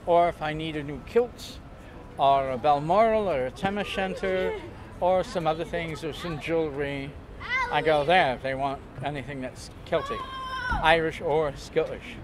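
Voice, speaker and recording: A man's voice: on the high side, normal speed. An older man in casual conversation outdoors on a waterfront pier, talking in a chatty, theatrical, joking way. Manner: chatty, theatrical, jokey with bursts of loud laughter. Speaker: interviewee. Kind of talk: casual storytelling. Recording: outdoor street noise behind.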